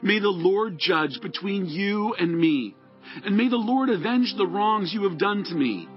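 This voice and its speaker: A man's voice: high voice, lively intonation, measured pace. A man playing a young, earnest, devout outlaw warrior who pleads in a declamatory way in a dramatized scripture recording. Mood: impassioned pleading. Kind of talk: dramatized scripture audio acting. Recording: dull lo-fi audio with music.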